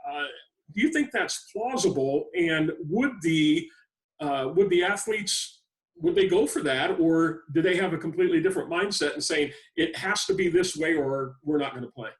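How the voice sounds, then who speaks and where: A man with a somewhat high-pitched voice hosts a sports podcast. He talks conversationally as he leads an interview and puts questions to his guest. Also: expressive, moderate pace, low-quality recording.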